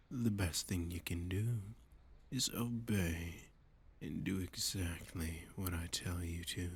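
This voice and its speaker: A man playing a flirty, menacing masked villain in an ASMR roleplay, breathy and husky, often whispering, with a domineering, teasing, condescending manner. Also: sits low, a bit bright, slight background noise, full character voice. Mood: smug, menacing.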